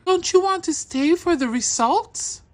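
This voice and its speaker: A woman voicing a kind, bashful grown-up sister who speaks gently and reassuringly in a children's story. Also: extremely high pitch, expressive, a little slow, pitched above the performer's own voice. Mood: questioning.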